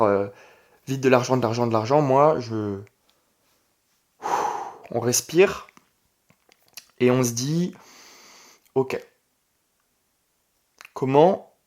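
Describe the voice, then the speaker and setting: A man's voice: mid-range voice, a little mellow. Young Frenchman talking off the cuff, emphatic. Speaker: online entrepreneur and video creator. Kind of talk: casual vlog monologue.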